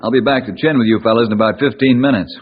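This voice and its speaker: An actor playing a hearty, folksy forest ranger in an old-time radio drama, on a muffled old recording. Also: male voice, medium pitch, fairly even intonation, very fast, a little hiss or hum, lightly acted. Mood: hearty, friendly.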